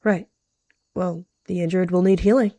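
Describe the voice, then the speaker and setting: A woman's voice: fairly low pitch, steady tone, normal speed, warm tone, no room sound, natural voice. A woman voicing a bold, fair-minded she-cat who leads a clan with firm authority in a roleplay game. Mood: firm, commanding. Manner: firm, commanding.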